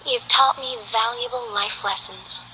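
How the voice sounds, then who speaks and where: A woman voicing a formal, earnest young female city magistrate in game character voice lines, speaking politely and with measured care. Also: sits high, very animated, creaky, pushed and bright, a little hiss or hum, full character voice, low-quality recording. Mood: gracious.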